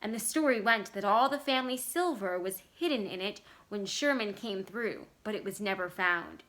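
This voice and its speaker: A woman's voice: mid-range voice, normal speed, fairly bright tone, natural voice. A woman voicing a crafty, persuasive old grandmother in a story reading, coaxing and insistent.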